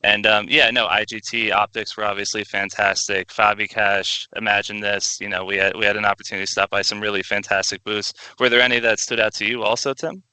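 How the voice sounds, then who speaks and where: A man's voice: on the low side, little pitch movement, fairly quick, hardly any pauses, sharp tone, no echo, poor audio. A man co-hosting a conference recap in a conversational presenting style. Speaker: co-host.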